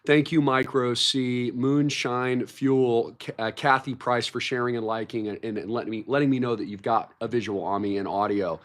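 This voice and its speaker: Man, hoarse voice, livestream host, hyped market commentary, repeats buzzwords, mid pitch. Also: fairly even intonation, normal speed, few pauses. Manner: hyped, repeats words like massive and shocking. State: hoarse, recovering from a cold.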